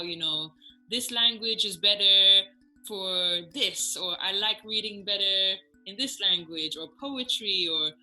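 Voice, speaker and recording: A woman interviewing a guest, reacting with enthusiasm and asking questions in a relaxed, chatty way with small hesitations. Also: medium pitch, low-quality recording. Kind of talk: casual interview.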